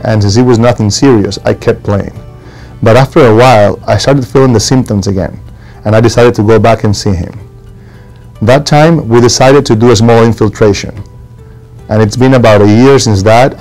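Steady man from Ecuador, testimonial. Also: on the low side, rough recording. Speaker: professional tennis player, patient. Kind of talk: testimonial interview. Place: clinic office, indoors.

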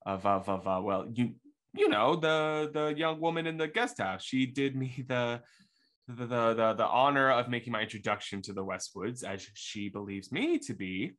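A man acts out an anxious young suitor in a radio mystery. His mid-pitched voice stumbles and repeats itself, flustered and eager to please. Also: a bit bright, natural voice. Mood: evasive, flustered. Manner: stammering, halting, flustered. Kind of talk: radio mystery acting, live online table read.

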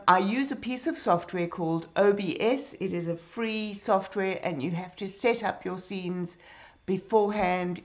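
A middle-aged woman with a hoarse, croaky voice, teaching a live drawing lesson from her home room on a webcam mic. She chats with her viewers, greets them by name and fills her pauses with ums. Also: medium pitch. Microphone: computer webcam.

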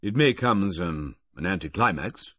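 A stern older brigadier, put on by a man reading a story, lays out charges in clipped, formal sentences. The voice is husky and climbs and falls in a lively way. The recording is dry and a little dull. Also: low voice, normal speed, dry recording. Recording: dull lo-fi, dry close audio.

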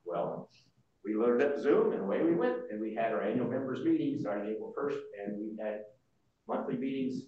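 An older man presenting informally at a board meeting, explaining things in a halting way. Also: mid-range voice, a little mellow. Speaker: association board member presenting. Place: large indoor meeting room. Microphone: far room microphone. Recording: muffled, very echoey room, distant mic.

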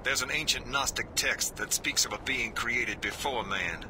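An actor playing a grave, guarded soldier-priest in a video game, explaining in a solemn, earnest way. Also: male voice, mid-range voice, normal speed, noisy background, natural voice. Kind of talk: video game cutscene acting.

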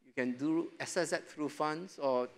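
A middle-aged man answering as an investment panelist in a studio discussion, relaxed and chuckling, with lots of "you know" fillers, on a lapel mic. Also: on the high side, normal speed. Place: studio stage set.

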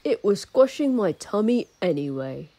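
A woman's voice: medium pitch, big pitch swings, normal speed, warm tone, husky, no room sound. A woman voicing a kindly, cheerful male giant in a children's storybook, with emphatic singsong delivery. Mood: kind, concerned.

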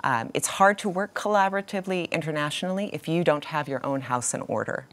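A middle-aged woman giving her views as a panel guest in a TV studio, laying out her points one by one. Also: low voice. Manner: measured, lists points one by one.

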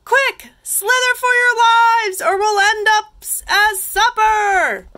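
A woman voicing excitable, scornful snails who cry out in a children's picture book read-aloud. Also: very high-pitched, takes it slowly, voice pushed up, full character voice. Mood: panicked. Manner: crying out, exclaiming. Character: excitable, scornful crowd of snails, easily panicked.

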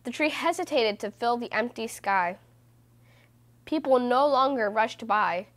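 A woman reading a children's book aloud as host, in a measured, deliberate way with stressed phrases. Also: fairly high pitch, animated, fairly bright tone. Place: small room indoors.

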